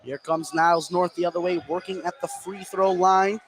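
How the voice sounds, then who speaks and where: A man's voice: sits high, steady tone, moderate pace. A man calling a basketball game live as a play-by-play radio commentator. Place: indoor school gym. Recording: crowd noise behind the voice. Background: gym crowd.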